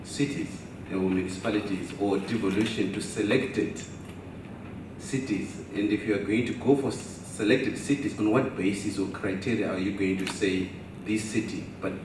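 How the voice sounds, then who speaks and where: A man's voice: fairly low pitch, steady tone, normal speed. A man, a professor, asking a pointed question from the audience in a good-humoured way. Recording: echoey hall, distant mic, noisy.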